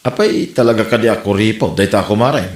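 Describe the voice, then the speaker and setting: A man's voice: fairly low pitch, fairly quick, natural voice. A man playing a nosy, gossiping neighbor in an amateur comedy drama. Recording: echoey room with music underneath. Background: music.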